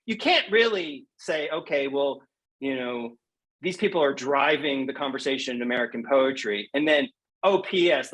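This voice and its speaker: A man's voice: mid-range voice, fairly bright tone, husky, poor audio. A man speaking as a podcast interview guest, conversational and earnest, repeating phrases for emphasis. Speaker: interview guest, poet and prize judge.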